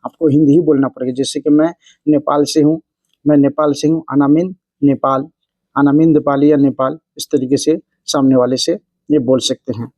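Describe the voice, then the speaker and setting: A man's voice: fairly high pitch, little pitch movement, normal speed, dark tone, no room sound, low-quality recording. A man hosting an instructional language lesson, explaining phrase by phrase and repeating each example. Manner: repetitive, explanatory, phrase-by-phrase delivery. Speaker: language teacher and video host.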